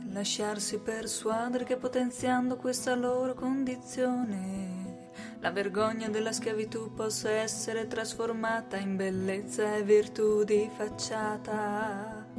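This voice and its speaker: High-voiced woman reciting slowly over music. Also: flat delivery. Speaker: stage actress. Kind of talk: theatrical scripted recitation for radio theatre. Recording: prominent music under the voice.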